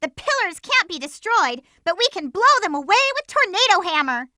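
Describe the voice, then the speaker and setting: A woman's voice: extremely high pitch, sing-song, moderate pace, pushed and bright, no room sound, studio quality, heavily acted. A woman voicing a plucky, bossy young female cartoon hedgehog in a video game, with a peppy, emphatic, girlish delivery. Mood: perky, instructive. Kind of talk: animated game voice lines.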